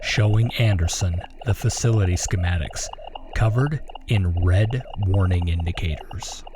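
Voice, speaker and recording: A man's voice: low-pitched, unhurried, background noise. A man narrating a scripted suspense story in a dramatic production.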